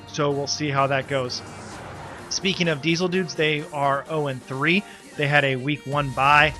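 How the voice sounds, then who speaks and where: A man with a stuffy, congested voice gives a loose, halting recap as league organizer, with many ums. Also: fairly high pitch, bright, forceful tone. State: congested, coming down with a cold. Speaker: league organizer and team player. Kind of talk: casual spoken recap.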